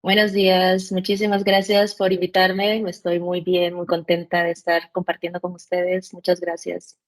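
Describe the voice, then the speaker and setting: A woman's voice: fairly low pitch, completely flat delivery, normal speed. Chatty woman. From born in Mexico, raised in El Salvador. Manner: chatty, with many fillers and restarts. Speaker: guest. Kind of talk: casual interview chat.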